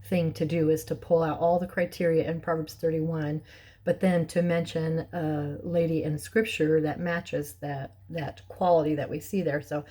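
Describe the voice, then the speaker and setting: A middle-aged woman with a hoarse, raspy voice, clearing her throat often and chatting with soft laughs as she teaches a Bible lesson. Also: sits low, flat delivery, moderate pace.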